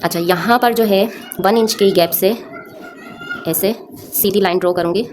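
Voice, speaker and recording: A woman's voice: mid-range voice, moderate pace, a little hiss or hum. A woman with a sore throat, explaining a craft step by step in an informal, conversational way to viewers. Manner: conversational, addresses viewers with frequent check-in tags. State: sore throat, frequent throat clearing. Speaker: sewing tutorial host.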